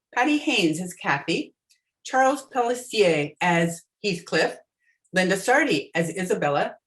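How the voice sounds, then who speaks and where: A woman's voice: on the low side, fairly bright tone. A woman announcer reading scripted closing credits for a radio drama.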